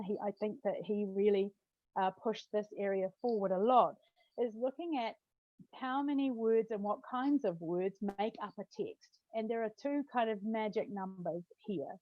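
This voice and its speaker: A woman's voice: mid-range voice, moderate pace, dry recording. A woman giving an academic talk in a chatty way, often adding "right?" and restarting her phrases. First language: not Hungarian; Hungarian is her second language. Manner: conversational, tag questions, frequent restarts. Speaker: lecturer. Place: home study with bookshelf. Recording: dull, dry lo-fi sound.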